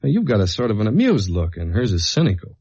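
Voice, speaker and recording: A man's voice: on the low side, wildly swooping pitch, fast talker, a little mellow, slightly rough, exaggerated voice. An actor playing a tough, confident, wry male investigator in a 1950s-style radio detective drama, plain-spoken and self-assured. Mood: teasing, soothing. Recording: dull vintage radio recording with music.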